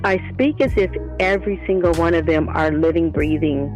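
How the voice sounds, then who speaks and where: A woman's voice: on the low side, normal speed, darkish tone, faint music behind. A woman speaking as a guest author, giving earnest, explanatory answers in a conversational interview. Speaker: guest, children's book author.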